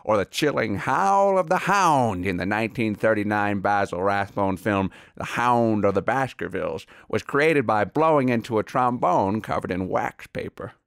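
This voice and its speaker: A man's voice: fairly low pitch, lively intonation, moderate pace, vocal fry, few pauses, a bit bright, no room sound, clean studio sound. An actor playing a genteel, self-serious veteran Hollywood sound-effects artist in a comedy mock interview, savouring his words pompously. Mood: pompous, wistful.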